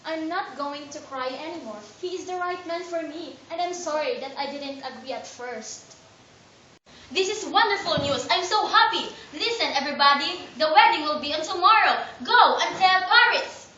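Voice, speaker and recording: A woman playing an excited, bossy father of the bride who barks eager orders, in an amateur stage reading. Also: high-pitched, normal speed, a little hiss or hum. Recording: echoey room, mic far off, dull sound.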